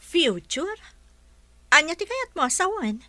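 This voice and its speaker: A woman's voice: very high-pitched, sing-song, measured pace, pushed and bright, full character voice. An actress playing a firm, doubtful young working woman in a radio drama, with a Filipino accent. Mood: curious.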